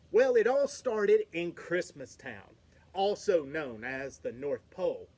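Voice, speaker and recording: A man reads a children's Christmas book aloud in a high, highly animated voice, taking his time over each phrase. He plays to his young listeners, chatting with them between lines. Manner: playful, talks to the audience. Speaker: storyteller reading to children.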